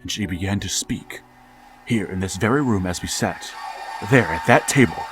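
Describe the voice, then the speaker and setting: A man's voice: low-pitched, expressive, normal speed, a bit bright. An actor playing a grieving, middle-aged father who narrates and pleads desperately to reach his dead son, in a vintage-style radio drama. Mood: hushed. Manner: anguished, pleading, rising to frantic outbursts. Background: music.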